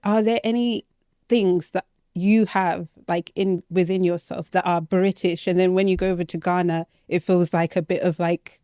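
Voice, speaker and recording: A woman's voice: fairly low pitch, steady tone, normal speed, warm tone, no echo, clean studio sound. A woman interviewer speaking conversationally, putting informal, loosely phrased questions to her guest.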